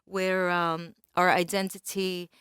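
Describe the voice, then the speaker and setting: A middle-aged woman from Israel, whose first language is Bulgarian, chats to a concert audience as a singer-songwriter in quick, searching speech full of ums and restarts. Her voice is soft and drops low and quiet on the fillers. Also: flat delivery, smooth, dry recording, studio quality. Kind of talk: chatting to a remote concert audience.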